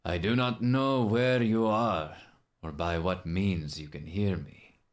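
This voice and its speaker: A man voicing a solemn, world-weary older assassin in a game monologue, with a measured, grave, wistful delivery. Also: sits low.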